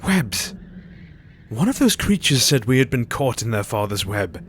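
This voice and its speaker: A man playing an earnest, shaken Victorian gentleman who hunts vampires in a horror audio drama. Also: mid-range voice, sing-song, measured pace, slight background noise. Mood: uneasy.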